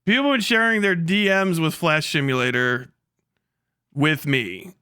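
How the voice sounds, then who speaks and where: Bright, high-voiced male livestreamer. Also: a little slow, clean tone, no echo, studio quality. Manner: profane, rambling, stammering, amused. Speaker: live streamer, host of the channel. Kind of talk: casual livestream commentary with reading aloud.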